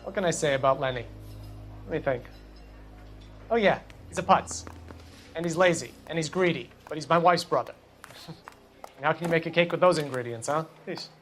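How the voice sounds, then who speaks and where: An actor playing a smug, menacing 1940s gangster boss who talks in sardonic, wisecracking slang in a video game crime drama. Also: male voice, on the high side, normal speed, long pauses, fairly bright tone, soft background music, close to an everyday voice. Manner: slangy, sardonic, wheedling tough-guy talk.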